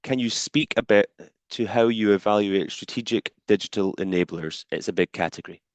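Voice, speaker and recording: A man's voice: lowish voice, fairly quick, dry recording. A man hosting a video-call webinar, politely moderating questions with frequent hesitations. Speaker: webinar host.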